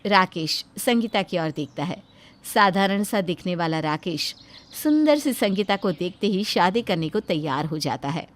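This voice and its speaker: A woman's voice: fairly low pitch, lively intonation, moderate pace. A woman narrator telling a scripted domestic moral tale in a dramatic storytelling style.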